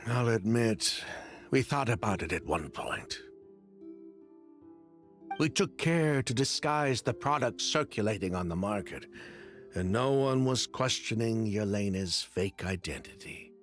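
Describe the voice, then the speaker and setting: An actor playing a grave, rueful businessman in a video game, telling his story in a measured, dramatic way. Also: on the low side, expressive. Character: grave, rueful businessman confessing past schemes. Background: soft game music.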